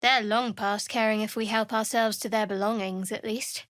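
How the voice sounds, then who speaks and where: An actress playing a guarded, sardonic young female cleric of a dark goddess in a video game, with dry, measured delivery. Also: mid-range voice, steady tone, no room sound, natural voice. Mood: dry, wry.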